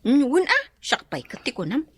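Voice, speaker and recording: A woman's voice: sits high, wildly swooping pitch, normal speed, slightly rough, dry recording, heavily acted. A woman playing a gossipy, conspiratorial friend in a comedy radio drama. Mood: amused, conspiring.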